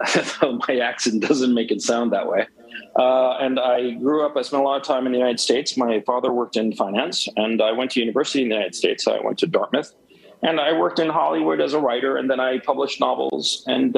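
A man's voice: mid-range voice, gravelly, low-quality recording. Rambling, emphatic man on a cell phone. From Chile. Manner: emphatic, rambling, with many restarts. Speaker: guest. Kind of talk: phone interview.

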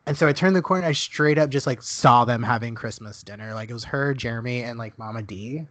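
Young male co-host, middle pitch, easygoing chatter full of fillers and laughs. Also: a little fast, fairly bright tone. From Dallas, Texas. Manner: chatty storyteller, laughs often, many "like" fillers. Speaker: podcast co-host. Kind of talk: casual chat.

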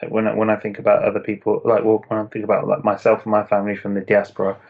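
A man hosting an interview, asking hesitant, soft-spoken questions. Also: on the low side, monotone, very fast, creaky. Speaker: interviewer host. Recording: dull lo-fi call audio.

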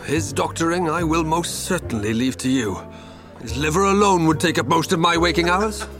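An actor playing a witty, genial politician who teases and persuades a room of doctors in a radio drama, over background music. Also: male voice, a little high, moderate pace, close to an everyday voice. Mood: teasing. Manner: teasing, persuasive.

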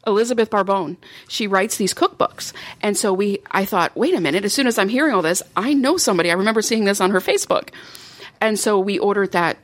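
A woman talking as a guest in a casual podcast chat, telling her story in a chatty, lively way. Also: medium pitch, fast talker. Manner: chatty, animated storytelling with laughs.

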